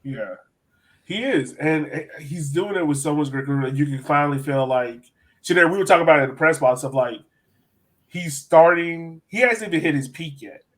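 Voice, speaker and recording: A man's voice: fairly high pitch, moderate pace. An American man hosting a sports podcast, talking casually and with enthusiasm as he breaks down soccer. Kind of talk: casual soccer analysis chat.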